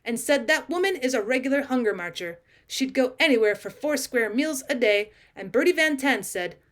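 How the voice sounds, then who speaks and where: A woman's voice: high voice, expressive, normal speed. A woman voicing a coldly sardonic talking male cat in a storybook reading, haughty, unruffled and cutting. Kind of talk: audiobook character voice, British classic short story.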